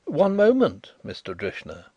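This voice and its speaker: A man voicing a calm, politely ironic blind gentleman detective with a smooth voice. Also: on the high side, a little slow, no room sound, muffled recording, pitched above the performer's own voice, exaggerated voice. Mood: smoothly ironic.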